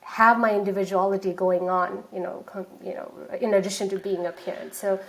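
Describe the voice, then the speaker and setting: A woman talks through an interview in a loose, chatty way. She leans on fillers and restarts her sentences often, in a voice of middling pitch for a woman. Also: fairly even intonation, a little fast, creaky, rough recording. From Nepal. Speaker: guest, startup founder. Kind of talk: casual interview talk.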